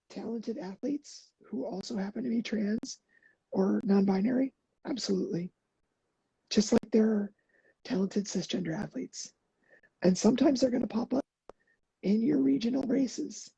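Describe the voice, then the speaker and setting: A middle-aged woman speaks earnestly in a relaxed interview, halting with fillers and restarts. Her voice is very dark and husky with gravelly fry and a slight hoarseness. The sound is dry and a little muffled. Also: medium pitch, no echo. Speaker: podcast guest, endurance athlete. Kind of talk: casual interview talk.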